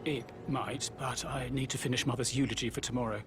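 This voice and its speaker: An actor playing an insecure, troubled grown son who speaks softly and hesitantly. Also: male voice, medium pitch, fairly even intonation, brisk, noisy background, close to an everyday voice. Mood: anxious. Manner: soft, hesitant. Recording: loud music behind.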